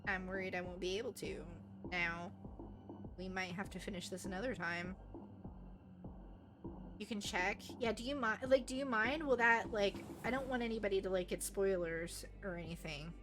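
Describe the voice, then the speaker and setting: A woman livestreamer chatting casually with her viewers in an expressive, bubbly, rambling way. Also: medium pitch, moderate pace, music in the background. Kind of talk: casual live game stream chat with viewers.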